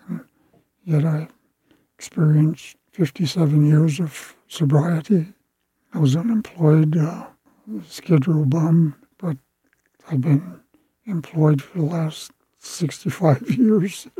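Very husky, dark-voiced elderly American man. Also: a little high, no room sound, poor audio. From Wisconsin, United States. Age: 80s. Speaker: interviewed community elder. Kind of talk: reflective personal recollection.

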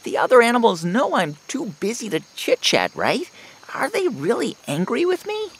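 An actress playing an eager, earnest beaver with a creaky, expressive voice in a children's audio folktale. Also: female voice, a little high, normal speed, heavily acted. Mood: hurt, confused. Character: eager, busy, earnest beaver, sociable and sincere.

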